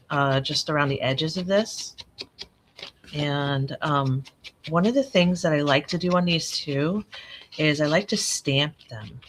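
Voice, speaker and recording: A woman with a raspy, allergy-worn voice, rambling and correcting herself as she talks through a live crafting tutorial at her desk. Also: low voice, noisy background. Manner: chatty, rambling, self-correcting. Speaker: craft channel host.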